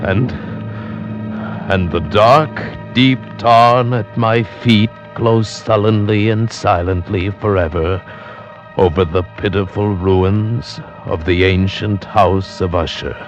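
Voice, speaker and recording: An actor playing a horrified gentleman narrator of a gothic tale, in theatrical, formal 1940s radio drama style. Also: on the low side, fairly even intonation, slow pace, low-quality recording. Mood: grave, horrified. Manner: theatrical, formal literary delivery. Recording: vintage radio drama recording with music underneath.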